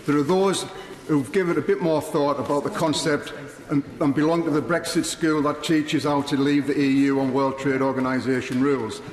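A middle-aged man, a member of parliament, reading a prepared speech in a large debating chamber, earnest and measured. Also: fairly high pitch, fairly even intonation. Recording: echoey chamber with long reverb.

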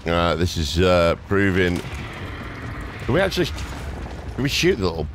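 A man hosting a gameplay video with casual, excitable, chatty reactions. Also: low voice, moderate pace. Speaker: gamer, video host. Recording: clear background game noise.

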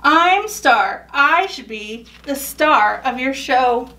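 A woman's voice: sits high, expressive, slow pace, sharp tone, far from the mic, full character voice. A woman voicing a confident, self-important star character who wants the spotlight in a picture book. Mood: proud.

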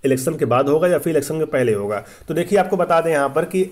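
A man's voice: a little high, lively intonation, quick pace, darkish tone. A man hosting an explainer for students, repeating points emphatically and often checking that listeners follow. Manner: repetitive, emphatic explaining with frequent check-in tags. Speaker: host of exam-update channel.

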